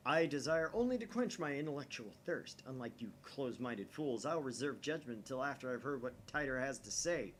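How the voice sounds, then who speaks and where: A man's voice: medium pitch, animated, brisk, few pauses, natural voice. A man voicing a pompous, grandiose young self-styled mad scientist in a visual novel. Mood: haughty, defiant. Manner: pompous, theatrical. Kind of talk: visual novel read aloud. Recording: faint background noise.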